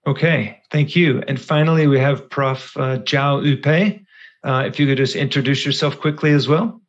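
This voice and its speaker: A man moderating an academic conference panel, speaking in a measured way with filler uhs. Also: mid-range voice, muffled recording.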